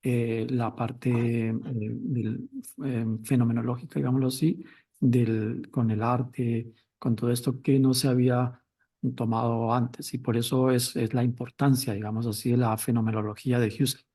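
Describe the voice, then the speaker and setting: A man's voice: mid-range voice, level tone, normal speed, mellow, slightly rough. A man speaking in an academic discussion and moderating it, with frequent restarts and hesitations. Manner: hesitant, many restarts and fillers.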